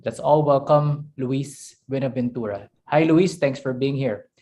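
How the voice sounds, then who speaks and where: A man's voice: mid-range voice, unhurried, mellow. A man moderating a webinar, thanking speakers and introducing the next one in a chatty way with many fillers.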